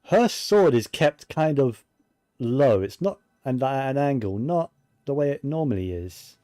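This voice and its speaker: A man's voice: mid-range voice, lively intonation, a little slow, dark tone, dry recording, studio quality, faint music behind. A man giving casual video game commentary, musing and thinking aloud to himself as he plays.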